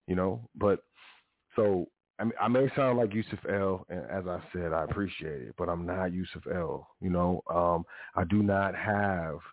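A man hosting his own show, talking in a rambling, casual monologue full of restarts and asides. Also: dry recording. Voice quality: deep voice. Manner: rambling, frequent self-corrections and "you know". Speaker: podcast host. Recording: dry, phone-like narrow sound.

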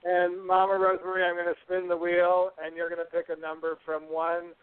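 A man with a slightly high voice hosts a casual live podcast. He talks in a chatty, run-on manner, and the sound is narrow, like a phone line. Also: monotone, brisk, clear, resonant tone, no room sound. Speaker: podcast host. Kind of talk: casual live show chat, announcements.

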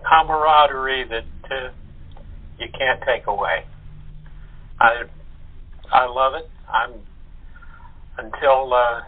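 A man chatting in a halting, deliberate way over a tinny phone connection. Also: medium pitch. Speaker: voice actor in an audio theatre group. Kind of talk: casual chat. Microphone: phone or internet call. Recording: narrow, tinny phone line.

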